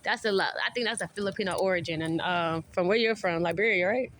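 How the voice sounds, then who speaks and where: A woman's voice: lowish voice, quick pace, a bit bright, a little hiss or hum. A young woman chatting casually as a podcast guest, laid-back and laughing often, swearing freely. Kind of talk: casual chat.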